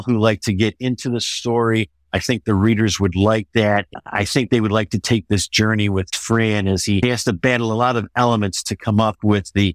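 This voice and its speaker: A man's voice: fairly low pitch, no room sound. Male author in a dry, close interview. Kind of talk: interview conversation about own writing.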